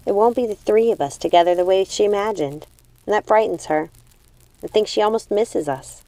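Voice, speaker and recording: A woman voicing a calm young witch sister in a storybook, a gentle peacemaker who reasons and reassures. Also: medium pitch, brisk, dry recording, voice pushed up, close to an everyday voice, rough recording. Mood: reassuring.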